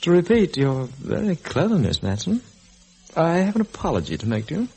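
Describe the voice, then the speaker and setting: A smug, suave gentleman in a vintage radio mystery. His husky, highish voice lilts with condescending, flirtatious politeness. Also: huge pitch swings, brisk, heavily acted, low-quality recording. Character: smug, suave nephew of a wealthy household, flirtatious. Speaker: actor in a radio detective drama. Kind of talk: old-time radio acting.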